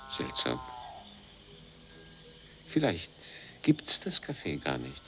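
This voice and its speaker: Casting: pensive man for radio drama, very low voice, terse and musing, insect noise behind. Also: wildly swooping pitch, slow pace, creaky, bright, forceful tone, full character voice. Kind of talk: German radio play dialogue and narration.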